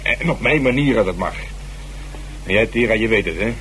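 A man in a Dutch radio drama playing a weary, sarcastic police officer with a gruff, grumbling manner. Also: fairly low pitch, lively intonation, fairly quick, fairly bright tone. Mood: brusque. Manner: gruff, sardonic. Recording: noisy old radio recording.

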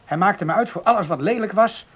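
An actor playing a hot-headed, indignant young drifter in love in a Dutch radio play. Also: male voice, high-pitched, fairly quick, fairly bright tone, husky. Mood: aggrieved. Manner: indignant, bantering, protesting.

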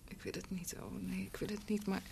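A woman's voice: mid-range voice, fairly even intonation, fairly quick, a little mellow, natural voice. Earnest young woman, played by an actress, noisy recording. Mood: anguished, apologetic. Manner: earnest, emotional, often breathy. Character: gentle young woman, devoted niece of a doctor. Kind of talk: Dutch radio drama acting.

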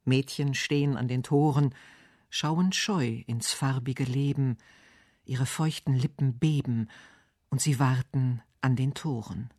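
A man's voice: mid-range voice, slow pace, darkish tone, no echo, studio quality. A man reading a scripted literary radio essay, with poetry and narration.

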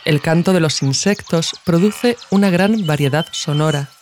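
A woman narrates a scripted essay as podcast host in a measured, literary way. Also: low voice, a little mellow, soft background music.

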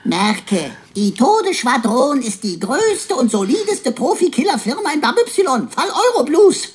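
A woman's voice: high-pitched, sing-song, unhurried. A woman playing a cheeky, mouthy robot sidekick in a radio drama, switching between flowery mock deference and sarcastic insults. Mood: sarcastic, teasing.

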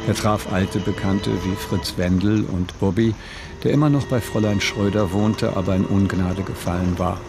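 A man narrating a literary radio drama in a measured, serious manner. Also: sits low, fairly even intonation, gravelly, music in the background.

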